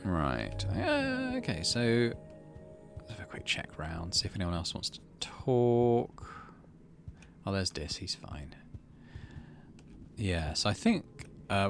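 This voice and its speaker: A man's voice: on the low side, wildly swooping pitch, slow pace, long pauses, faint music behind. A man doing let's play commentary, reading story text aloud and muttering through his decisions as he thinks aloud. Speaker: game streamer, let's play host.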